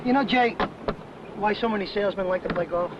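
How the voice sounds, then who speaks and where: Affable, earnest salesman, male actor: high-pitched, eager to explain, on a muffled seventies industrial film track. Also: a little fast, lightly acted. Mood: earnest, explanatory. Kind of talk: 1970s sales training film dialogue. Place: mostly outdoors on a golf course. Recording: muffled, very noisy 1970s 16mm film sound.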